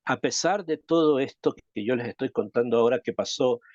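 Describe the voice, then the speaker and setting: A man from Chile, a rabbi teaching a Torah class, reflective and thoughtful, asking rhetorical questions and pausing to think. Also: mid-range voice, a little mellow, no echo, lo-fi sound. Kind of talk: Torah lesson.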